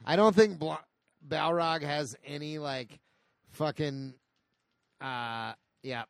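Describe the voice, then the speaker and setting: A man's voice: fairly high pitch, slow pace, gravelly, no room sound, clean studio sound. A man co-hosting casual video game commentary, joking and swearing freely in playful banter. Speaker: co-host. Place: indoors, playing video games.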